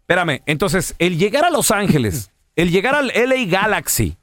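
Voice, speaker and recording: A man's voice: sits high, big pitch swings, moderate pace, fairly bright tone, no echo, faint music behind. A man with a Mexican accent hosting casual sports banter in a slangy, argumentative, provocative way. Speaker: talk show host.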